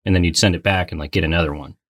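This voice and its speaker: A man co-hosting a podcast in casual, playful banter, with an excitable, mock-dramatic streak. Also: sits low, rapid-fire, dry recording. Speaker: podcast co-host and trivia game player.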